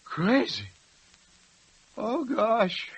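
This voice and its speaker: An actor playing an excitable, fretful, high-strung man in a theatrical 1940s radio comedy style. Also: extremely slow, breathy edge, highs cut off, exaggerated voice. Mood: anxious, agitated. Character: excitable, high-strung man who fancies himself a runaway locomotive. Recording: noisy vintage 1940s radio recording.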